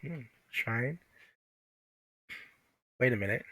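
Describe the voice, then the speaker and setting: A man's voice: mid-range voice, animated, slow, bright, forceful tone, breathy edge, no echo, low-quality recording. A man streaming a game, reading its story aloud with casual asides, with music playing behind him.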